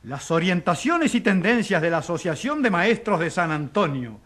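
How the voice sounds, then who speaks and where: A man playing a stuffy, accusing doctor giving formal, measured testimony in a radio drama. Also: high-pitched, very animated, a bit bright. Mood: prim accusing. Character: stuffy, accusing male doctor on witness stand.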